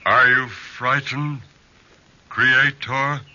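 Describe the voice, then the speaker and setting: A man playing a lonely, pleading, hideous man-made giant in old-time radio horror, speaking in halting, deliberate phrases. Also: medium pitch, steady tone, bright, forceful tone, slight background noise, full character voice. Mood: pleading, wounded. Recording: dull old radio broadcast recording.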